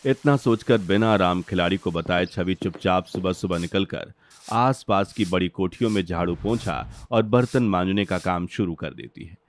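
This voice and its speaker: A man's voice: sits low, expressive, normal speed, a little hiss or hum. A man narrating a scripted cartoon family story.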